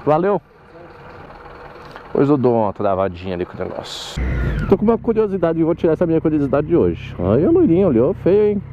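A young man jokes his way through city traffic, his pitch leaping around as he talks. He is recorded on a muffled helmet camera while riding. Loud engine and street noise fill the background. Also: sing-song, a little fast. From Brazil. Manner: joking, rowdy, swings pitch a lot. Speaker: motorcycle vlogger. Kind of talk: casual riding commentary. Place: on a motorcycle in city traffic, outdoors. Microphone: helmet-mounted camera mic.

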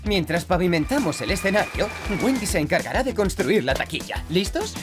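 An actor playing a friendly, practical young builder who explains things upbeat, in a children's cartoon dubbed in Castilian Spanish. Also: male voice, high-pitched, sing-song, brisk. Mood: explaining. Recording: music behind the voice.

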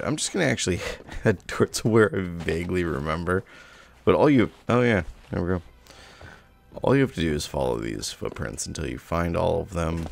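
A man with a low, gravelly voice talks through a video game in a casual, laid-back way. His pitch moves around freely as he thinks out loud, like a streamer keeping up a running commentary.

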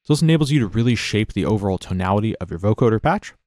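Low-voiced man giving a tutorial, dry sound. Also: lively intonation, racing, a little mellow, no echo. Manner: informal, explanatory. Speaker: software tutorial instructor. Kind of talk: casual step-by-step tutorial narration.

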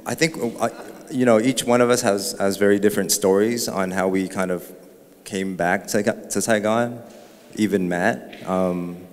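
A man's voice: on the low side, steady tone, measured pace. A man born in Vietnam, speaking thoughtfully and with some hesitation into a handheld mic at a panel talk. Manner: thoughtful, hesitant, jokes with the audience. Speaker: artist panelist. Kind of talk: panel discussion. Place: gallery room with a live audience. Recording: reverberant gallery hall.

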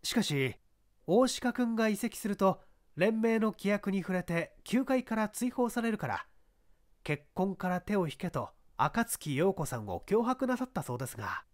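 A man voicing a polite, persistent detective who questions suspects in a measured way in an audiobook. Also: high voice, sing-song. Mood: pressing. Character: composed, probing male detective questioning a suspect. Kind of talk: dramatised audiobook reading.

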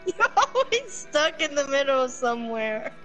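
A woman's voice: sits high, sing-song, unhurried, bright, forceful tone, faint music behind, poor audio. A giggly woman chatting casually and teasing her friend during a game stream. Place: voice chat over a game stream.

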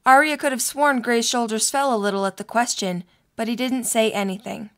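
A woman's voice: fairly high pitch, steady tone, fairly quick, fairly bright tone. A woman reading scripted narration for a story.

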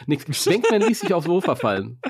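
A man's voice: on the high side, huge pitch swings, a little slow, slightly rough, no echo, clean studio sound. A man with a German accent, co-hosting a podcast, reading a story and bantering with teasing asides. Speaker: podcast co-host reading a film novel aloud.

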